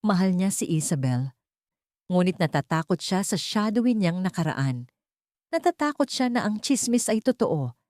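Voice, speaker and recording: A woman narrates a scripted drama as an audio storyteller. Her voice sits on the low side for a woman. The sound is dry, with very little room in it. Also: big pitch swings, moderate pace, a little mellow, no room sound.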